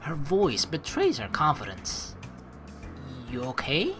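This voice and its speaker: A woman's voice: low voice, huge pitch swings, takes it slowly, a bit bright. A woman hosting a let's play, chatting informally with rambling asides and reading the game's story text aloud. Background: game music.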